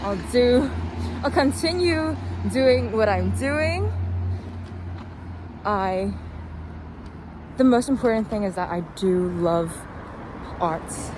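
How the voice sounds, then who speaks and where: A woman's voice: a little high, expressive, slow pace, pauses often. A young woman talking casually and reflectively in a rambling vlog, recorded outdoors while walking. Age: young adult. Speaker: vlogger. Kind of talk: casual chatty vlog monologue. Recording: noisy outdoor street with traffic.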